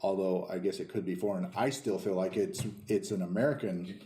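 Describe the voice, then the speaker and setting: A man's voice: sits low, steady tone, fairly quick, warm tone, slight background noise. A man chatting casually as a podcast co-host, hesitant as he thinks his way aloud through guesses. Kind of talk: casual chat. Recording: echoey room.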